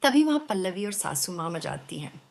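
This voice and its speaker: A woman's voice: on the low side, big pitch swings, normal speed, gravelly. A woman narrating a scripted story as the storyteller.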